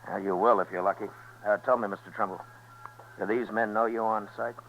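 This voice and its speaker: An actor playing a stern, weary frontier marshal in a 1950s radio western, terse and firm. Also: male voice, fairly low pitch, fairly even intonation, a little fast, fairly bright tone, slight background noise. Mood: brisk, friendly. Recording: muffled 1950s radio recording.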